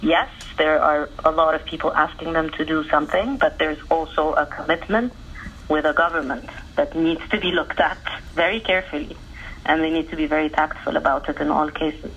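Woman, tennis journalist talking over the phone, clear bright tone. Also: sits low, fairly even intonation, a little hiss or hum. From Egypt. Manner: earnest, fluent, quick to explain. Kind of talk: conversational interview answers. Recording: dull phone-line audio.

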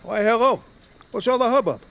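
Very creaky, crotchety old-timer. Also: male voice, very high voice, fairly quick, a bit bright, full character voice. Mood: grumpy. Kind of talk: audio fiction comedy acting.

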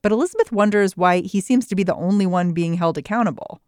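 Brisk woman hosting a podcast, dry studio. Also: lowish voice, a little mellow, no room sound. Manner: brisk, clear storytelling delivery. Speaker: podcast host. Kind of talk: scripted news podcast narration.

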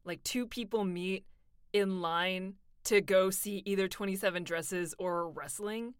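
A woman's voice: mid-range voice, a little slow, clear, resonant tone, no room sound, studio quality. Chatty young woman, laughing often. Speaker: voice actor guest. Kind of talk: casual interview chat.

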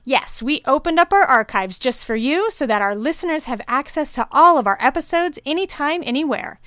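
A woman's voice: sits high, lively intonation, fairly quick, a bit bright, dry recording, studio quality. A woman hosting a podcast who is also a lactation consultant, reading an upbeat, scripted welcome.

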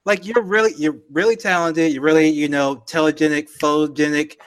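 A man in his thirties with a somewhat high voice hosts a casual conversation. He puts questions to his guest in an informal, chatty way. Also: fairly even intonation, a little fast. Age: 30s. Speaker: podcast host. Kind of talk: casual interview chat.

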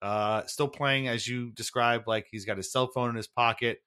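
A male sports podcast host with a bright, forward voice at an ordinary pitch. He recaps games casually, in a conversational and slightly wry way, often qualifying what he says. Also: fairly even intonation, normal speed, smooth. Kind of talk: casual hockey game recap chat.

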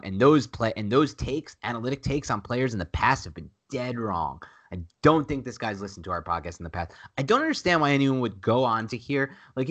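A man's voice: lowish voice, fairly bright tone. A man with a nasal voice talking casually and emphatically as co-host of a sports podcast, repeating himself for emphasis.

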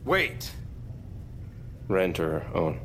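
An actor playing a goofy, easily distracted young amateur bounty hunter in a comedic audio drama, flippant and rambling. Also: male voice, low-pitched, big pitch swings, extremely slow, a bit bright, slight background noise, heavily acted. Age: young adult.

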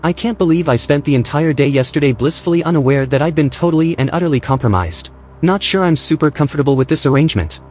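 An actor playing a wry, reluctant, anxious tech colleague in a naturalistic audio drama, with a dry manner. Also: male voice, on the high side, lively intonation, quick pace, darkish tone, natural voice. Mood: uneasy.

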